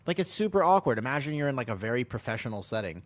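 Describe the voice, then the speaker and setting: A man's voice: mid-range voice, big pitch swings, racing. An American man streaming a game, chatting casually and rambling as he answers chat and laughs easily. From United States.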